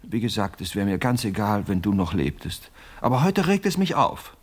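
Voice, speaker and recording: An actor playing a pompous, miserly older widower in a radio play, self-justifying and fussily indignant, with a husky voice. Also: male voice, lowish voice, sing-song, moderate pace. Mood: agitated, complaining.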